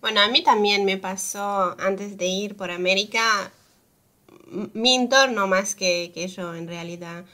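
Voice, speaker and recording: A woman taking part in a podcast interview, speaking in a thoughtful way and often pausing to find her words. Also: mid-range voice, steady tone, pushed and bright. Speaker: podcast guest.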